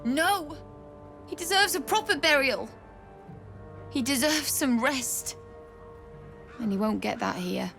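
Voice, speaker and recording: An actress voicing a grieving young widow in a video game, speaking in a tearful, hushed way. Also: female voice, sits high, animated, slow pace, creaky, long pauses, faint music behind, exaggerated voice.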